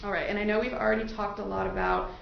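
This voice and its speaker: A woman's voice: mid-range voice, flat delivery, racing, creaky. A woman attorney questioning prospective jurors in a courtroom, politely probing and repeating their answers back. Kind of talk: courtroom questioning of a jury panel. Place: large indoor courtroom. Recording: very echoey courtroom, distant mic, muffled, faint noise.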